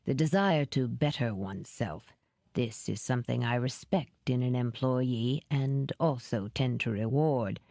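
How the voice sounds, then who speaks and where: Woman narrator as older male executive, audiobook character, very low pitch, mellow, courteous, calculating, measured and formal. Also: creaky, no echo, heavily acted. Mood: smooth, cunning. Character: smooth, powerful older businessman, solicitous, calculating and courteous.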